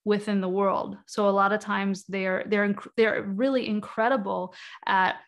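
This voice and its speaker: A woman's voice: mid-range voice, fairly even intonation, moderate pace. Woman explaining on a video call. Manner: thoughtful, explains at length with many "like" and "um" fillers. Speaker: guest author on energy healing. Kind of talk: explanatory interview talk. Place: home room, indoors. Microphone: webcam on a video call.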